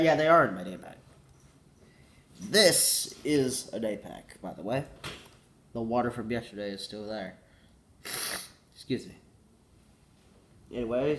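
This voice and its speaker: A man's voice: on the low side, expressive, a little slow, pauses often, fairly bright tone, a little hiss or hum. A teenage boy with a cold, congested and coughing, rambling casually and correcting himself, saying "like" a lot. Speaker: vlogger.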